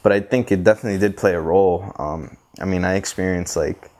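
A man, giving reflective interview answers in a halting, thoughtful way. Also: low-pitched, a little mellow. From Costa Rica, later United States. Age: 20s. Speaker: interview guest, photographer and visual artist. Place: living room, indoors on a sofa. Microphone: clip-on lapel mic.

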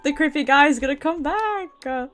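Casting: British female game streamer, higher-pitched, chatty and sweary, commentating over faint game music. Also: animated, normal speed, fairly bright tone. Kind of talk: casual game stream commentary, reading dialogue aloud.

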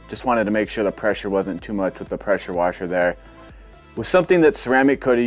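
Brief: lower-voiced guy, laid-back how-to vlog narration, faint music under. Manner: relaxed, rambling, filler-heavy explaining. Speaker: car detailing vlogger. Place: outdoor car wash bay.

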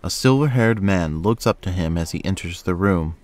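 Male narrator, low register, reading scripted scenes for an audio drama. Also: expressive, moderate pace, darkish tone, slight background noise.

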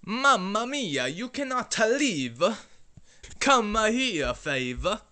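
A man voicing a shady, hot-tempered pizzeria owner with an exaggerated fake Italian accent and halting, broken English. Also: high voice, big pitch swings, pushed and bright, exaggerated voice. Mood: insistent. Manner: hammy, halting broken English with filler syllables. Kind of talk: comic character acting.

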